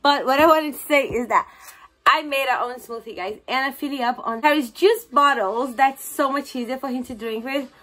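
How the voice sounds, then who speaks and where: Casual female vlogger, young, Brazilian accent, native Portuguese speaker, high register, talking into a phone at home. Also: normal speed, a bit bright. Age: young adult. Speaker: daily vlogger and mother. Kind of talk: casual chat to camera. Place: indoors at home, kitchen and living room. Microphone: phone at arm's length. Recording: noisy home with appliance and child sounds.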